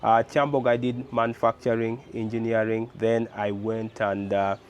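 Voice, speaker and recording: A young man with a Ugandan English accent, talking casually in an interview, animated and full of rhetorical questions and fillers. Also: on the low side, normal speed, highs cut off, slight background noise. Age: young adult. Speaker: guest, car builder.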